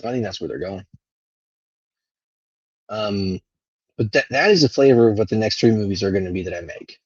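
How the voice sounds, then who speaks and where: A husky man with a fairly low voice hosts a casual livestream. He rambles without a script, halting and letting thoughts trail away. The sound is close, dry and slightly dull. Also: animated, dry recording. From Venezuela. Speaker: live stream host. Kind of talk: casual unscripted livestream monologue.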